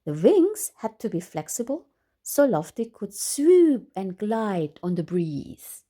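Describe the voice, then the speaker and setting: A woman reading a children's story aloud in an expressive way, exclaiming and putting questions to her listeners. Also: mid-range voice, slow. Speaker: storytime reader for a children's book club. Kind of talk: animated children's read-aloud with commentary to listeners.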